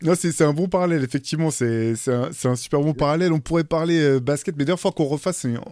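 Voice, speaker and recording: A man's voice: on the high side, quick pace, a little mellow, dry recording, studio quality. A man in his forties hosting a casual podcast, friendly as he leads into the segments. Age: 40s. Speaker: podcast host. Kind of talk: casual chat.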